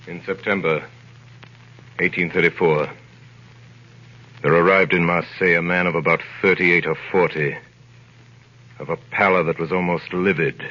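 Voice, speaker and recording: An actor playing a grave, mysterious man near forty in a 1930s radio drama, speaking in measured, formal, probing tones. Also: sits low, fairly even intonation, pushed and bright. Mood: grave narration. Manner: measured, solemn, formal questioning. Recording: dull old 1930s radio broadcast recording.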